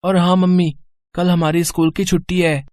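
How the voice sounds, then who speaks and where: A woman voicing a young schoolchild in a cartoon story, eager and pleading, with an excitable manner. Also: lowish voice, moderate pace, natural voice. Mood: explaining. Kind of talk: Hindi cartoon moral story acting.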